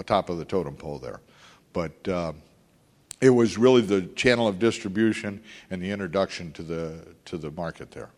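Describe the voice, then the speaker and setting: An older man recalling his business career in a halting, rambling way with many fillers. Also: sits low, big-room sound. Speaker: former industry executive. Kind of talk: oral history interview.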